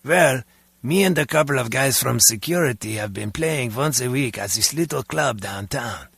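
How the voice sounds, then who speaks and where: A man's voice: medium pitch, animated, normal speed, gravelly, a bit bright, husky, no room sound, heavily acted. An actor playing a petty, comical henchman guard who talks colloquially in a video game. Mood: laid-back.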